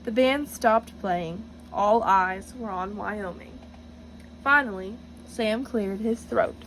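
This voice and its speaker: A woman reading a picture book aloud to children in an encouraging, teacherly way, pausing to address them directly with questions. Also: medium pitch, fairly bright tone, a little hiss or hum, poor audio. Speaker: storybook reader for children, student teacher.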